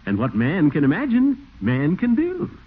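Pompous middle-aged American blusterer, gullible and easily flustered, wildly swooping delivery, tinny hissy vintage radio comedy. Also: male voice, on the high side, huge pitch swings, normal speed, husky, heavily acted. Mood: self-satisfied. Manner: blustering, chuckling, self-important. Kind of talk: 1940s-50s American radio comedy acting.

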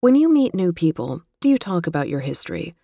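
Woman narrator, low and mellow, measured literary read, dry close mic. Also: creaky, no echo. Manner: measured, even, literary delivery. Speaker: narrator reading a magazine feature. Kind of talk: scripted narration.

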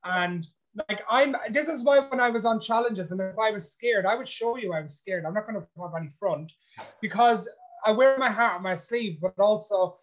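Outspoken man, blunt and animated. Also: high voice, normal speed, poor audio. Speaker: reality show contestant. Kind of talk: casual interview chat.

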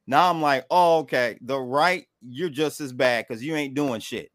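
A man's voice: medium pitch, moderate pace, fairly bright tone, dry recording. Blunt co-host man, dry close sound. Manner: agreeable, frustrated, blunt. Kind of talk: casual political chat.

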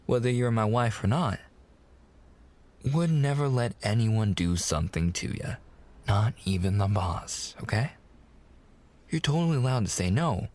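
A man's voice: medium pitch, darkish tone. A young man playing a smitten, protective mafia fiancé in a boyfriend ASMR roleplay, with slangy, hesitant, reassuring wiseguy talk.

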